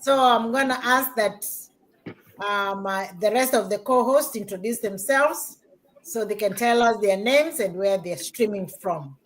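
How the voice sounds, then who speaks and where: A woman's voice: mid-range voice, unhurried. A woman hosting a live-streamed panel chat over a video call, welcoming and upbeat. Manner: warm, welcoming and upbeat, repeats thank-yous. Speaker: host.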